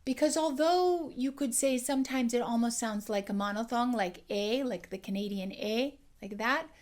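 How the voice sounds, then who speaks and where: A woman dialect coach teaching an accent lesson, explaining clearly and demonstrating sounds. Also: fairly high pitch, moderate pace.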